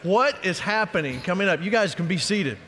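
Male stage host, slightly high voice. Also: animated, brisk, pushed and bright. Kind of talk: live stage interviewing. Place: stage in a large convention hall. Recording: faint live hall background noise.

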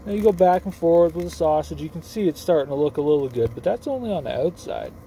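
A young man with a somewhat high, mellow voice, rambling in an offhand, self-mocking way. He is recording on a phone inside a parked car, and a faint hiss of background noise sits under his voice. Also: animated, quick pace. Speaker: vlogger cooking on camera. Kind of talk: casual joking how-to vlog. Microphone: phone held or propped nearby. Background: sizzling camp stove.

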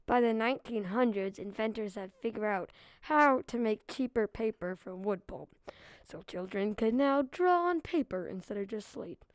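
A woman's voice: medium pitch, lively intonation, moderate pace, dry recording. A female reader giving a scripted read-aloud of a children's book.

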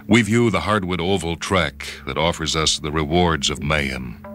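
A man's voice: a bass voice, normal speed, fairly bright tone. A man voicing a tough, boastful star of a brutal sport, telling his story with intense swagger in a dramatic radio reading. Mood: grim, tough. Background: background music.

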